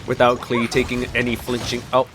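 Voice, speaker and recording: A man's voice: mid-range voice, monotone, fairly quick, noisy background. A man giving casual let's play commentary as a streamer. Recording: faint game audio behind the voice. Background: video game sounds.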